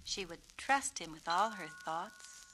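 A woman's voice: lowish voice, lively intonation, a little slow, bright, forceful tone. A woman narrating a children's storybook expressively, as its author. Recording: noisy 1970s children's story record.

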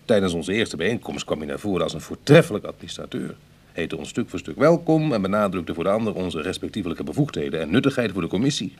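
An actor playing a wry, sceptical ex-burglar in a radio drama, husky and brooding, with hesitant conversational delivery. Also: male voice, low-pitched, very animated, fast, a little mellow, heavily acted. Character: wry, sceptical male ex-burglar on a prison committee. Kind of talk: Dutch radio drama acting.

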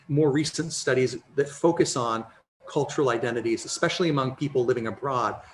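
A middle-aged American man giving a measured, explanatory academic lecture over a video call from a home office, using personal examples. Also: mid-range voice, a little hiss or hum. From United States. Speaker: conference lecturer. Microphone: video call, computer mic.

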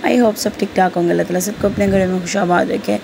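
A woman's voice: mid-range voice, level tone, fast, creaky, background noise. A woman in her thirties with a Pakistani Urdu accent, a mother narrating a home cooking vlog in chatty, rambling voice-over, her throat sore and a slight fever on her. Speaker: vlogger, mother. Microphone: voice-over at close mic.

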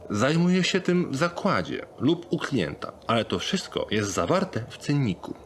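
A man playing a polite, sales-minded locksmith in a dark fantasy audio drama, speaking in a courteous, businesslike, obliging way. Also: medium pitch, big pitch swings, moderate pace, a little hiss or hum, close to an everyday voice. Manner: courteous, businesslike, eager to please.

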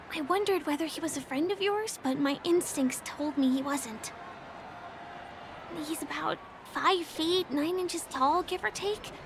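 An actress playing a gentle, earnest, observant young woman performer in a video game. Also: high voice, noisy background. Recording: game music under the voice.

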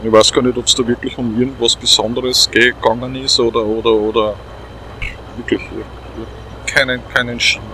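A man's voice: mid-range voice, little pitch movement, normal speed, bright, forceful tone, slight background noise, low-quality recording. A middle-aged man with an Austrian accent, hosting a let's play in casual, chatty, repetitive phrasing. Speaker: host of a gaming let's play. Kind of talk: casual chat commentary over a game.

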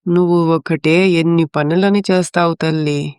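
A woman playing a gentle, weary older mother-in-law in a moral story drama, affectionate and pleading. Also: fairly low pitch, fairly even intonation, unhurried, clear, resonant tone, no room sound, studio quality, lightly acted.

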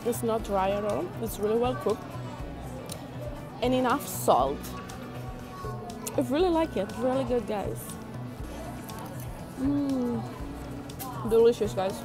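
A woman's voice: fairly high pitch, takes it slowly, long pauses, music in the background. A young woman chatting enthusiastically to camera as she reviews food at an outdoor waterfront restaurant, speaking into an earphone mic. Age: young adult. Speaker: food vlogger. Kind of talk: casual vlog chat to camera. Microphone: wired earphone mic.

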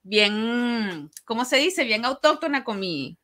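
Bright, bubbly Venezuelan woman hosting a show. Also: on the high side, very animated, takes it slowly. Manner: bubbly, affectionate. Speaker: radio show host. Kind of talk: casual interview chat. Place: small living room at home, indoors. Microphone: studio microphone on a boom arm, close.

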